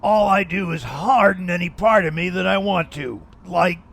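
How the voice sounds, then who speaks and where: A man's voice: high voice, normal speed, creaky, very noisy. A man voicing a cocky, menacing street-tough supervillain in a comic dub. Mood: taunting. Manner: taunting, street-tough wording. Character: cocky male supervillain thug, taunting and menacing. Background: music.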